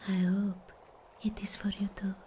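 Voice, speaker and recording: Woman as serene anime sea guardian for ASMR roleplay: breathy whisper, very slow, mellow, soothing. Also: medium pitch, monotone, a little hiss or hum, lightly acted.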